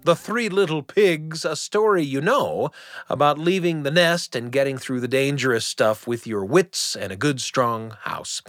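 Guy hosting a story show on radio, super expressive narration, bit wry, chatty, no room echo. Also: medium pitch, measured pace, faint music behind. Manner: conversational, wry asides to the listener. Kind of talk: animated storytelling and scripted narration.

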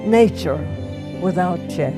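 A woman reading poetry aloud for a documentary, slow and halting, with long pauses between phrases. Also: fairly low pitch, animated, music in the background.

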